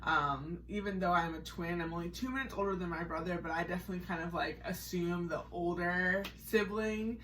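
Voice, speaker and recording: A woman's voice: lowish voice, steady tone, brisk, hardly any pauses, a bit bright, distant mic, slight background noise, poor audio. An American woman co-hosting a podcast, telling her personal story in a casual, chatty, conversational way. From Massachusetts, United States.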